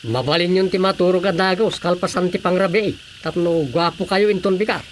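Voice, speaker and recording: A man's voice: sits high, fairly quick, natural voice. A man acting a cheeky, helpful son-in-law with casual teasing delivery in a Filipino radio comedy drama. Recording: steady faint background noise.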